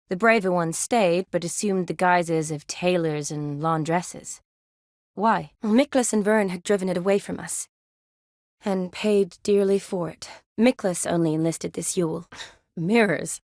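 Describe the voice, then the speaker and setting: An actress playing a young army medic in a fantasy video game, a warm, playful friend with an expressive, teasing delivery. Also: female voice, fairly low pitch, no echo, clean studio sound. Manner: expressive, teasing, sighs and soft chuckles.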